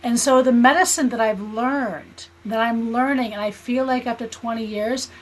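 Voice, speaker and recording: A woman's voice: fairly high pitch, steady tone, moderate pace, low-quality recording. American woman in her fifties, earnest explainer. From United States. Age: 50s. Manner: earnest, explanatory, seeks agreement with "right?". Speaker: guest, spiritual healer and teacher. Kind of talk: conversational podcast interview.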